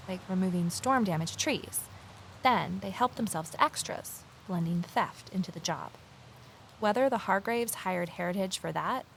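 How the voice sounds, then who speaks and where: Actress playing curious woman amateur detective, thoughtful chatty first person audio drama, mid pitch, noticeable ambience behind. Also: gravelly, slight background noise. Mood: pondering. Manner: reflective, conversational. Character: curious, determined middle-aged woman amateur investigator. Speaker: narrator and lead character.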